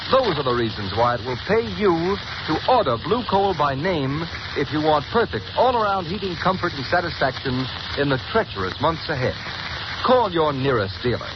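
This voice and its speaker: Earnest 1930s radio pitchman. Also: muffled recording. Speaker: commercial announcer. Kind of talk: 1930s radio commercial read. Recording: scratchy 1930s radio broadcast recording.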